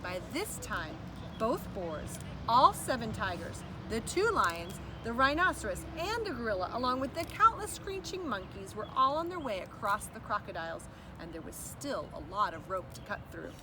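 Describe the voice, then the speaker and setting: A woman reads a children's story aloud with very animated intonation. Her voice sits a bit high. She is some way from the mic, with clear noise behind her. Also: moderate pace, distant mic. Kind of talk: expressive read-aloud narration of a children's book.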